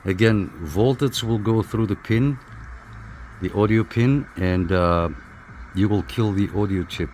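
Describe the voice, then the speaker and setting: Casting: male hobby reviewer, unhurried and informal, halting delivery, on the low side, faint music underneath. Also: a little mellow. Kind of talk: casual hands-on equipment review. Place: small apartment room.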